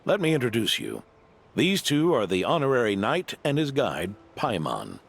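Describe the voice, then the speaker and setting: An actor playing a gruff, fatherly older male knight with a gravelly voice, in an anime-style game. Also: mid-range voice, wildly swooping pitch, normal speed, exaggerated voice. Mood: courteous.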